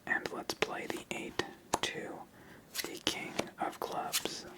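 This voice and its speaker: A person whispering gentle step-by-step ASMR commentary while playing a solitaire card game as host. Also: slow.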